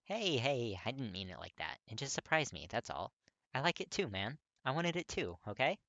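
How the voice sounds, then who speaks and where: A man's voice: medium pitch, big pitch swings, moderate pace, fairly bright tone, dry recording, highs cut off, pitched above the performer's own voice. A man voicing an earnest, friendly teenage boy in a casual, eager, emphatic way for a story reading. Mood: warm, earnest.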